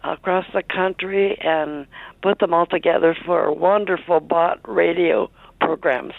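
A woman caller with a gravelly, creaking voice, heard on a narrow phone line. She leaves a grateful message for a radio program. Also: fairly low pitch, fairly even intonation, a little slow, dry recording. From South Dakota.

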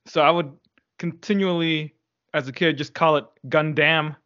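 A man from the United States co-hosting a casual podcast, laid-back with wry deadpan quips. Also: fairly high pitch, moderate pace, dull audio. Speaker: podcast co-host.

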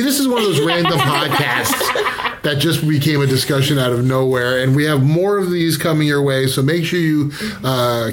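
A man in his forties hosting a casual podcast chat, joking and rambling off topic with younger co-hosts. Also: mid-range voice. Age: 40s. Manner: joking, rambling, self-mocking. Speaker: podcast host. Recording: noisy recording.

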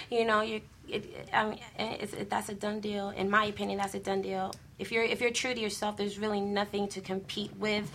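A woman speaks in a sharp, bright voice with little pitch movement. She gives casual panel advice emphatically, circling back to repeat her points. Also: fairly even intonation, moderate pace, slight background noise. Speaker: panel guest.

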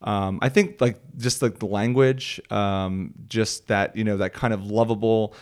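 A man from the Philadelphia area speaks with an unmistakable Philly accent and a slightly low voice. He talks in a relaxed, halting way, full of fillers and restarts, like a comedian chatting casually in an interview. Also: clear, resonant tone. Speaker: comedian guest.

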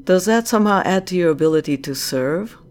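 A woman's voice: on the low side, animated, brisk, a little mellow, close to an everyday voice. A woman playing a commanding, curious corporate director in an audio drama, with a teasing, probing and self-assured manner. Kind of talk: scripted audio drama acting.

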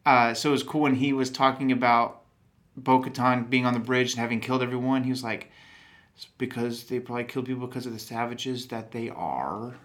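Man from Texas, casual chat host, rambling, animated, cuts himself off mid-thought, average pitch. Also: brisk. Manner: rambling, animated, self-interrupting. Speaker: podcast host.

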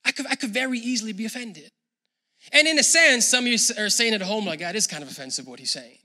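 Bright, high-voiced male pastor preaching. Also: expressive, fast. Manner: conversational, building to loud emphatic preaching. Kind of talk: sermon.

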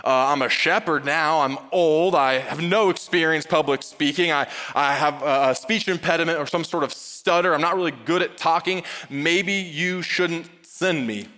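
A man's voice: on the high side, normal speed, hardly any pauses, sharp tone. A man preaching and voicing a reluctant, self-doubting old shepherd prophet who protests hesitantly and casually. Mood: reluctant, doubtful. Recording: reverberant hall sound.

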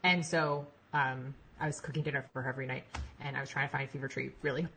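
A woman in her thirties, a podcast guest talking in a rambling, animated way and laughing easily. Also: very deep voice, quick pace, a little hiss or hum. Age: 30s. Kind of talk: casual chat.

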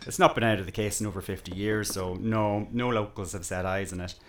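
An actor playing a chatty, teasing village pub landlord in an audio drama, with a folksy, jokey and politely nosy manner. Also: male voice, on the low side, fairly even intonation, a little fast, lightly acted. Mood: wry, confiding.

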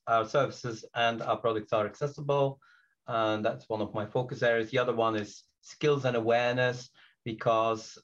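A man from Belgium talks about himself as a podcast guest, with English as a language he learned later. He speaks reflectively and hesitantly, pausing often with fillers and restarting his sentences. His voice sits at a middle pitch, and the recording sounds slightly dull and lo-fi. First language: not English. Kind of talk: podcast interview.

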